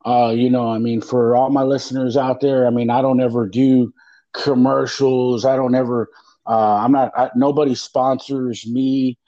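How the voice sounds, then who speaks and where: Male podcast host, mellow voice, dry muffled lo-fi sound, rambling and halting. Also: mid-range voice, flat delivery, no room sound. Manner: rambling, halting, many fillers and restarts. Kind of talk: casual podcast chat. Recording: muffled lo-fi audio.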